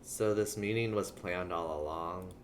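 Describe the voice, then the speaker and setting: A woman with a very low-pitched voice gives casual game stream commentary. She muses and reacts aloud, with faint noise behind her. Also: measured pace. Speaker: livestreamer.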